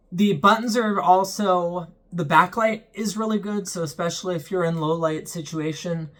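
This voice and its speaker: A man's voice: high voice, fairly bright tone. A young man hosting his own channel, giving a casual, earnest product review in a plain-spoken way with frequent ums. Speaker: channel host reviewing a weather radio.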